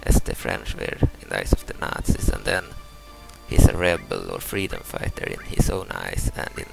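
A man from Sweden in his thirties, a native Swedish speaker, talks through a game in hesitant English, repeating words as he explains each move. His voice sits very low with a heavy gravelly rasp, and his pitch rises and falls a lot. Game music plays clearly behind him. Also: very animated, low-quality recording. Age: 30s. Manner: halting, repeats words and restarts, explains moves step by step. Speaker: gameplay commentator. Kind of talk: casual let's-play commentary.

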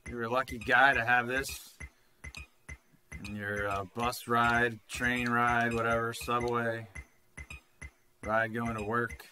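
A man's voice: mid-range voice, little pitch movement, slow pace, pauses often, sharp tone, faint music behind. A man in his thirties casually talking through a retro handheld game he is playing, rambling and swearing now and then, on a desk mic at home. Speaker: retro gaming channel host. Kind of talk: casual gameplay commentary.

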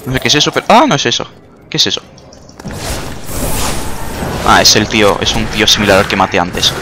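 A young man giving casual, jokey gameplay commentary, excitable and quick to swear. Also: fairly low pitch. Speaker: gamer. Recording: clear game music behind.

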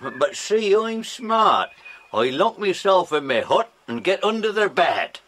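A man's voice: sits high, sing-song, slow pace, a bit bright, slight background noise, full character voice. An actor playing a cranky, spooked old railway watchman with a muttering, erratic manner in a children's audio drama. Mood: spooked, hostile. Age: older.

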